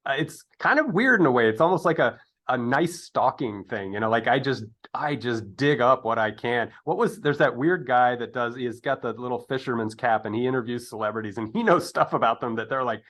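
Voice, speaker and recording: A man hosting an interview show in a conversational style, with halting, rambling delivery and chatty asides. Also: medium pitch, fairly bright tone.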